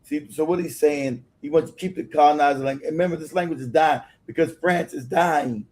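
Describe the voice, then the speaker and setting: A man joining a broadcast as guest commentator, speaking heatedly and halting, repeating words. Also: a little high, darkish tone, slight background noise. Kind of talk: casual commentary.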